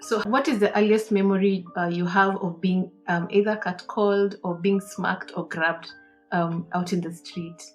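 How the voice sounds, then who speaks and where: A woman's voice: lowish voice, steady tone, moderate pace, faint music behind. A woman hosting a podcast who asks short interview questions. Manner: brief questions and listening murmurs. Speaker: podcast host. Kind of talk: casual interview.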